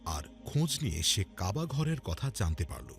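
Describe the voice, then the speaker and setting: A man's voice: lowish voice, big pitch swings, normal speed. A man narrating a scripted religious cartoon story in a dramatic storytelling style. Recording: music under the voice. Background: background music.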